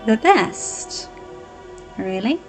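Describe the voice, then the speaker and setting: A woman voicing Arya, a defiant highborn girl. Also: sing-song, faint music behind, heavily acted. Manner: sharp, defiant, quick to argue. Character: feisty young highborn woman, stubborn and rebellious.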